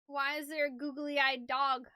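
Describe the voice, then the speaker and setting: A woman's voice: sits high, little pitch movement, measured pace, pushed and bright, no room sound, clean studio sound. A woman chatting casually on a game livestream, rambling and hesitant in an informal way. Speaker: streamer doing a game playthrough.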